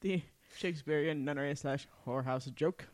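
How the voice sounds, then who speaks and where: A woman's voice: sits low, moderate pace. A woman co-hosting a podcast in casual, playful banter, giggling often and swearing freely. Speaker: podcast co-host.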